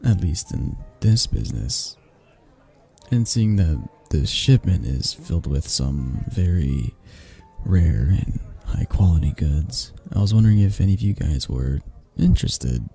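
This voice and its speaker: A man playing a possessive, flirtatious mafia boss in a romantic audio roleplay, husky and gravelly, speaking with intimate condescension and long pauses. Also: a bass voice, very animated, dark tone, exaggerated voice. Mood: smooth, businesslike. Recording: music behind the voice. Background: background music.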